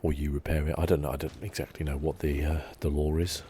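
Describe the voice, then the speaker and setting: A man giving soft-spoken ASMR commentary as he plays a game, hushed, relaxed and meandering, close to the mic. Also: very deep voice, quick pace, a little hiss or hum. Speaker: ASMR gaming host.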